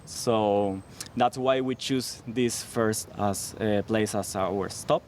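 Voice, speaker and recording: A man's voice: fairly low pitch, fairly even intonation, slow pace, darkish tone, slight background noise. A man guiding a walking tour outdoors, explaining in an earnest way. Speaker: tour guide. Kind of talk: guided walking tour talk. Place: outdoors on a city street.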